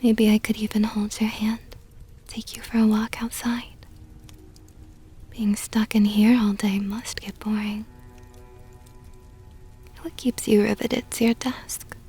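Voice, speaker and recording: A woman playing a seductive, possessive vampire countess in an ASMR roleplay, teasing in a soft whisper with mockingly sweet, coaxing words. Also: medium pitch, little pitch movement, slow pace, long pauses, husky, soft background music, exaggerated voice. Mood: flirtatious, coaxing.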